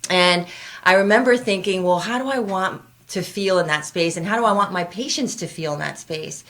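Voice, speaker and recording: A woman's voice: fairly low pitch, moderate pace, a bit bright. A woman hosting a conversational health radio show as a doctor, speaking earnestly and directly to her listeners.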